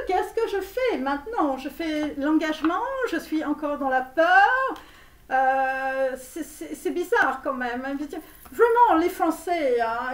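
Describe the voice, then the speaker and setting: A woman playing a comic online tarot reader with a put-on Romanian accent, insistent and repetitive, halting theatrically as she reassures her viewers. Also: high voice. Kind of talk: parody tarot reading spoken to viewers. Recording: roomy echo, distant microphone.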